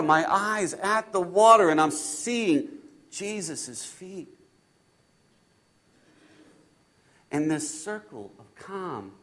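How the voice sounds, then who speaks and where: A man playing an impulsive disciple in a first-person dramatic Bible monologue, halting and repeating his phrases as he swings between hushed and loud delivery. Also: high-pitched. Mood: hushed wonder.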